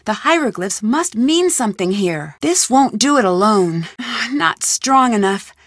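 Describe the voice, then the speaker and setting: A woman's voice: fairly high pitch, animated, measured pace, no room sound, clean studio sound. A woman voicing a curious, determined young woman detective in a game, thinking aloud as she searches for clues. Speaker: game heroine. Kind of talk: game voice lines.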